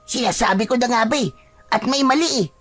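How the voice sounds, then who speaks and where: A woman's voice: fairly high pitch, brisk, a bit bright, no echo. A woman voicing an earnest, secretive young boy in a dramatized story. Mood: alarmed, warning. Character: young boy, secretive, earnest friend who warns of curses.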